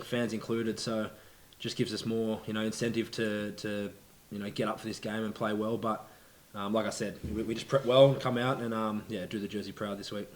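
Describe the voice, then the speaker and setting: A young rugby player answering press questions earnestly, with many fillers. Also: male voice, fairly low pitch, slight background noise, rough recording.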